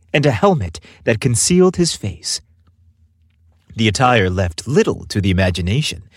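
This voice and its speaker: Expressive, husky male story narrator. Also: mid-range voice, no echo, clean studio sound. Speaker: podcast host and narrator. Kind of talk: theatrical scripted erotic fiction narration.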